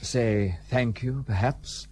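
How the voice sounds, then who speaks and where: An actor playing a curt, cynical British gentleman in colonial India, clipped and formal, in a period radio play. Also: medium pitch, slow, slight background noise, lightly acted. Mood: dry, impatient.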